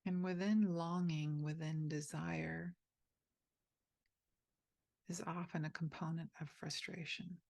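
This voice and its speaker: A woman leading a guided meditation, speaking softly and gently inviting the listener, with pauses between phrases. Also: low-pitched, fairly even intonation, vocal fry, no room sound. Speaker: meditation teacher.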